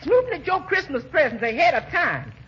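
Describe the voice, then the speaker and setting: Sassy folksy housekeeper, animated and bossy, old 1940s radio comedy, lots of hiss and muffled. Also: female voice, sits high, brisk. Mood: scolding. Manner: exclamatory, folksy, bossy scolding. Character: sassy, scolding woman housekeeper, jumpy but bold. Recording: very hissy, muffled 1940s radio broadcast recording.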